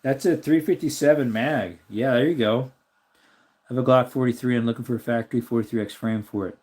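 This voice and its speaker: A man hosting a casual live stream, answering viewer comments and thinking aloud as he looks things up. His voice is slightly raspy. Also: medium pitch, brisk, a little mellow. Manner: rambling, thinks aloud while looking things up.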